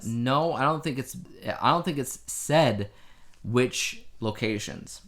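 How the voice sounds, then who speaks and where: Male podcast host, average pitch, loose conversational news chat, wry and rambling. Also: slow pace, fairly bright tone.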